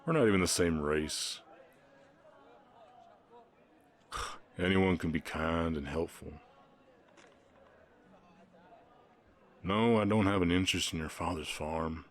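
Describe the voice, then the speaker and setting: A man playing a gentle, reserved orc ranch hand with a Southern US accent, speaking haltingly and earnestly in an intimate Western romance roleplay. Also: low-pitched, natural voice. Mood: reluctant, self-doubting.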